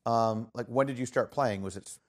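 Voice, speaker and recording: A man interviewing a former student, agreeable and often restarting his sentences. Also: medium pitch. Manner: agreeable, frequent backchannels, halting restarts. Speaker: interviewer, former college teacher. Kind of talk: conversational interview.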